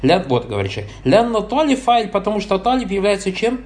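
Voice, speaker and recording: Higher-voiced man teaching a grammar lesson. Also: sing-song, fairly quick, darkish tone. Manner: asks the listeners short check questions as he goes; repeats blessing formulas between points. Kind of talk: explaining a grammar text, reading passages and translating.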